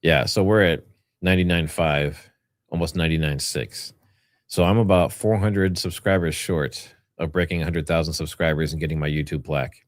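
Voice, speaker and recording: Man, deep voice, dry close sound, livestream host, casual rambling commentary. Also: normal speed, dry recording. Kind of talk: casual livestream commentary, partly reading notes aloud.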